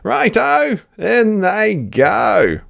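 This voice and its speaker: A storyteller voicing a boastful, gleeful male dingo cook in a storybook, excitable and singsong. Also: medium pitch, very slow, gravelly, voice pushed up, exaggerated voice. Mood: eager, gleeful.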